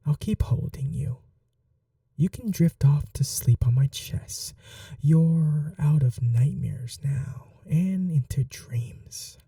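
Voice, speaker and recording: A man playing a possessive, tender yet cruel ageless vampire lover in an intimate ASMR roleplay, soft and breathy, often slipping into whispers, slow and coaxing. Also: a little high, animated, no echo, studio quality, recorded from a distance, full character voice.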